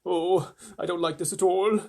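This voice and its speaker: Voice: mid-range voice, fairly even intonation, normal speed, vocal fry, a little mellow, pitched above the performer's own voice. A storyteller voicing a timid earthworm who whines and pleads in dread, as in a children's storybook. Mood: wailing. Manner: wailing, pleading.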